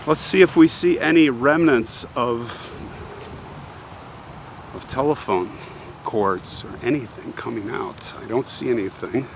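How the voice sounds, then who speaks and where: A man hosts an on-location history video with dramatic, suspenseful storytelling. He takes his time, speaking slowly at a medium pitch and repeating phrases for effect. He is recorded outdoors, with faint ambient noise behind him. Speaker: host of a cemetery history video channel. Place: outdoors, walking in a cemetery.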